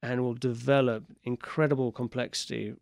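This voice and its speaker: A man's voice: medium pitch, level tone, normal speed, vocal fry, darkish tone, dry recording. A man who grew up in Portugal, talking as a guest in a radio studio interview, chatty and enthusiastic with frequent fillers. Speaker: port wine sales and export manager. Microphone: studio microphone.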